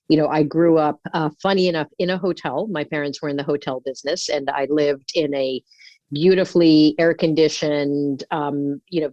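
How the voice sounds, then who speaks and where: A woman's voice: deep voice, a little mellow, no echo. Reflective woman guest, slightly dull audio. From Singapore. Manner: thoughtful, with frequent ums and restarts. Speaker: interview guest. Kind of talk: unscripted reflective talk.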